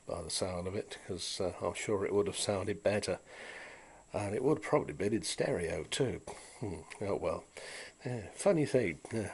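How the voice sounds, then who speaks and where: Low-voiced British man, wry and rambling. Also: a little mellow, a little hiss or hum. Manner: wry, dryly humorous, musing. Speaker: vintage audio hobbyist and repairer presenting. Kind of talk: casual, rambling demonstration chat with anecdotes.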